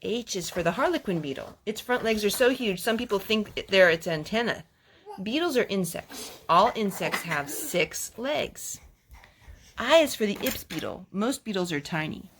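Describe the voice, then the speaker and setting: A woman with a medium-pitched voice leads a storytime for young children, speaking as a mother does to her own kids. She reads aloud and puts questions to her listeners. Faint noise and clicks sit behind her voice. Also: expressive, moderate pace, fairly bright tone. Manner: asks the child questions and repeats answers back. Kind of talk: children's read-aloud storytime with chat and song.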